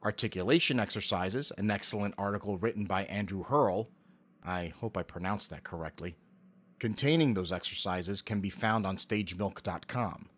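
A middle-aged man with an articulate, measured delivery, giving prepared interview answers into a close cardioid mic. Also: fairly low pitch, a bit bright. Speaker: interview guest, amateur voice actor. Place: home office. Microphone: cardioid dynamic mic with pop filter, on a stand.

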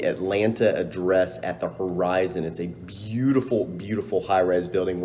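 A man in his thirties, a real estate agent, narrating a walk-through home tour on a handheld phone indoors. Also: on the low side. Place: indoors, hallway and rooms of an apartment. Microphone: phone held at arm's length. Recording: echoey rooms with background noise.

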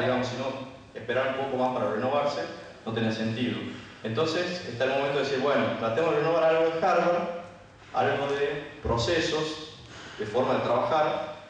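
A man with an Argentine Spanish accent gives an informal technical talk as a conference speaker, explaining colloquially and posing rhetorical questions. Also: mid-range voice. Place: dim lecture room. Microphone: handheld microphone, picked up by distant camera. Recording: echoey hall from far off, faint noise.

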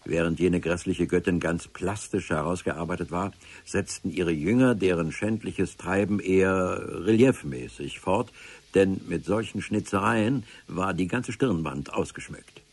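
A man's voice: sits low, unhurried, darkish tone, breathy edge. A man narrating a radio adventure serial in the first person, with a dry, ironic storytelling manner. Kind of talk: scripted radio-play narration. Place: radio drama studio.

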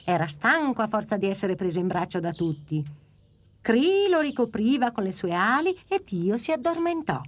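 A woman narrating a children's cartoon in a calm, gentle storytelling manner. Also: on the high side, normal speed.

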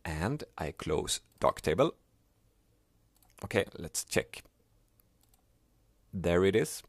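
A man whose first language is Swedish, explaining a software task step by step in a plain, unhurried way. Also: sits low, big pitch swings, no room sound. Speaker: software tutorial maker. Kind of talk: screen-recorded software tutorial.

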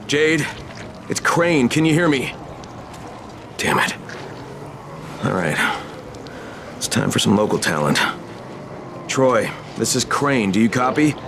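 A man's voice: mid-range voice, very animated, takes it slowly, long pauses, exaggerated voice. An actor playing a determined male agent in a zombie-filled city in a video game. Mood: calm. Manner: urgent, earnest. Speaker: protagonist. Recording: game dialogue with clear background noise.

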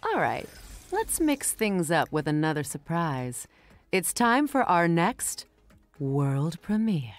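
A woman's voice: on the low side, sing-song, takes it slowly, slight background noise. A woman announcing a games showcase in scripted promotional narration.

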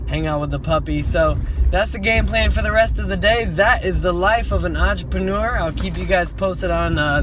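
A man's voice: fairly high pitch, fairly bright tone. A man in his twenties talking casually to camera like a gym trainer, in an upbeat, slangy, folksy way. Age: 20s. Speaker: gym owner and personal trainer. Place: inside a car. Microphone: phone at arm's length. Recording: noisy car interior with engine and road hum.

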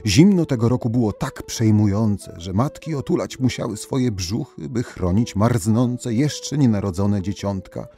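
A man's voice: fairly low pitch, warm tone. A man narrating folk tales as a storyteller, reading from a script. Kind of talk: scripted fairy-tale narration.

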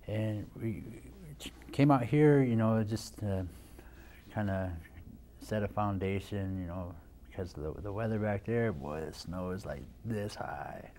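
A man in his thirties talks easily into a lapel mic on a studio set. His voice sits very low and sounds mellow. He rambles, restarting sentences and leaning on "you know", over a faint hiss behind him. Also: measured pace. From Nebraska, USA. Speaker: guest, dance instructor. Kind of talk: casual interview talk.

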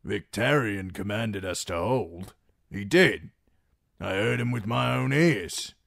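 A man's voice: fairly low pitch, expressive, takes it slowly, vocal fry, fairly bright tone, no room sound, clean studio sound, heavily acted. A man voicing an uneasy male guard who insists on his orders. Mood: insistent. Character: uncertain male guard, loyal soldier.

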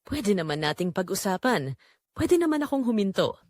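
A desperate wife weighed down by guilt, as a woman narrator acts her. She pleads with a trembling delivery and swooping, animated pitch in a romance audio drama, recorded dry. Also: normal speed, no room sound, lightly acted. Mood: desperate pleading. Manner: pleading, trembling, faint to near-shouting.

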